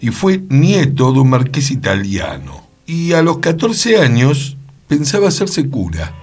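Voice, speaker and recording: A man's voice: mid-range voice, huge pitch swings, unhurried, darkish tone, breathy edge. A man with a Rioplatense Argentine accent, co-hosting radio and telling anecdotes in a casual chat style. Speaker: radio co-host.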